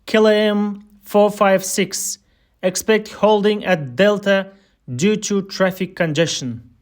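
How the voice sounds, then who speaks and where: Male instructor, high voice, unhurried and deliberate, reading scripted air traffic control phraseology for a listening drill.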